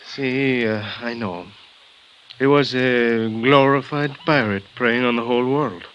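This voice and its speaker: An actor playing a grave, formal Mexican hospital doctor, speaking in a measured, halting way in a 1950s radio drama. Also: male voice, medium pitch, fairly bright tone, lo-fi sound, lightly acted. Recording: old radio broadcast with faint hiss.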